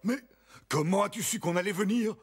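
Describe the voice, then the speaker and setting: A man's voice: high voice, a little fast, breathy edge. An actor playing a rich, greedy, gullible townsman in a cartoon, scoffing and pompous. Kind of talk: cartoon acting. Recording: music under the voice.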